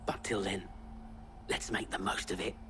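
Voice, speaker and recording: An actor playing a callous, sneering bandit thug in a video game. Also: male voice, sits low, flat delivery, moderate pace, noisy background, natural voice.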